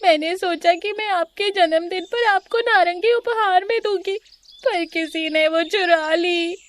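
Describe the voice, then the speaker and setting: A woman's voice: very high voice, measured pace, pitched above the performer's own voice. A woman voicing a tearful, whining young female deer pleading to her king in a children's cartoon. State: crying, whining.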